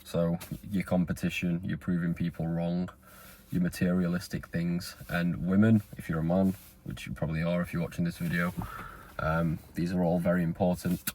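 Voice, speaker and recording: A man's voice: low voice, little pitch movement, normal speed, a little mellow, a little hiss or hum. A man, talking straight to camera in a rambling, earnest motivational monologue. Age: 20s. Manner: rambling, earnest, with fillers and restarts. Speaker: vlogger.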